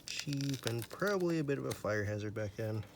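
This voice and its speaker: A man giving a casual, hands-on repair explanation in an unhurried, matter-of-fact way, sometimes trailing off. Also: mid-range voice, expressive. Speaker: radio repairer demonstrating. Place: indoors at a table. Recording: clear background noise, handling clicks.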